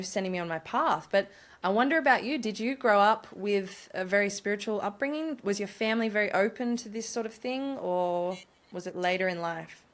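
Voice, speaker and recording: An enthusiastic woman hosting a podcast, chatting casually with her guest. She affirms warmly and thinks out loud, circling through her ideas. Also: medium pitch. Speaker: podcast host. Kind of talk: casual interview chat.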